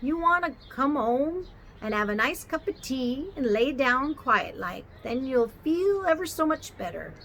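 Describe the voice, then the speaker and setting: Storybook Cockney cabby, gruff yet kind, slow and a touch higher, as a woman reader puts him on. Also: a bit bright, a little hiss or hum, rough recording. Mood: coaxing. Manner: dropped h's, drawn-out words. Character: red-faced Cockney cab driver, middle-aged man, gruff but kindly.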